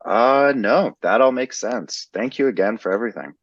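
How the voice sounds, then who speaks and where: A man's voice: mid-range voice, expressive, moderate pace, dry recording. A man playing a patient in a training role-play who answers health questions in a plain, cooperative and slightly hesitant way. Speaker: patient being interviewed. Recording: slightly muffled dry recording.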